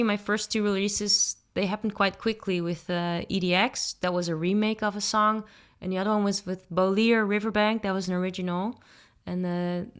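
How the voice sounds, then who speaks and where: A woman from the Netherlands with a Dutch accent, a singer-songwriter answering interview questions in a relaxed, thoughtful way with frequent ums and restarts. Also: on the low side, clear, resonant tone.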